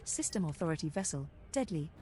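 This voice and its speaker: A woman playing a flirtatious spaceship copilot computer, giving measured, teasing readouts in a game voice pack. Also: deep voice, big pitch swings. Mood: businesslike.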